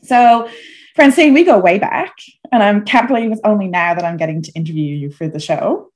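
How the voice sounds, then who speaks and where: A woman's voice: mid-range voice, a little fast, a little mellow. A woman hosting an interview in a casual, chatty style. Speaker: show host, interviewer.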